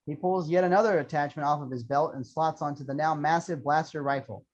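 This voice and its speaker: Man, slightly high voice, scripted action narration, table read, dull lo-fi audio. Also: fairly quick. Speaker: reader of screenplay action lines. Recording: dull lo-fi sound.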